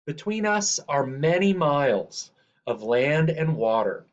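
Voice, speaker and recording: A man reading a children's picture book aloud in a measured, deliberate way. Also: on the high side, very animated. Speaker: reader. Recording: dull, lo-fi sound.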